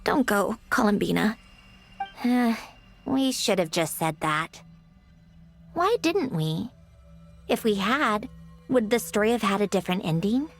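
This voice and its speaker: A woman's voice: mid-range voice, animated, a little slow, long pauses. A woman playing an aloof, prickly young woman with a melancholy streak and a haughty, sighing manner in a video game. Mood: grieving, reflective. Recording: music under the voice. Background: background music.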